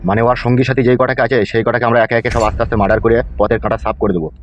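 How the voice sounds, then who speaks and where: A man's voice: medium pitch, little pitch movement, racing, highs cut off, a little hiss or hum, close to an everyday voice. A man playing a scheming, ruthless village gang boss in a cartoon, with a blunt, commanding manner. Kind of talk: comic Bengali cartoon dialogue.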